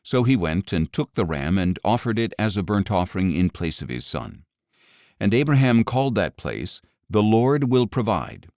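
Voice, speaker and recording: A man narrating scripture as an audio Bible reader, with a measured, expressive dramatic delivery. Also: sits low, no room sound, studio quality.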